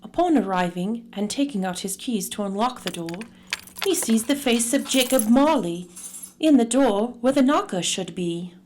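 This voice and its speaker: A woman's voice: on the high side, lively intonation, moderate pace, darkish tone, lightly acted. Woman as storyteller, noisy recording. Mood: measured. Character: storyteller narrating a classic tale. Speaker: narrator. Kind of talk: scripted radio drama narration.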